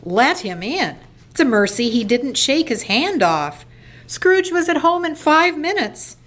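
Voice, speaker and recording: A woman's voice: sits high, very animated, a little slow, a bit bright. A woman narrating a classic story as scripted storybook narration for a radio play.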